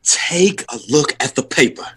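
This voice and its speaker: An actor playing a bandleader's cocky young son in an audio drama, snide, condescending and theatrical. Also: male voice, on the high side, moderate pace, noisy background, close to an everyday voice. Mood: urgent.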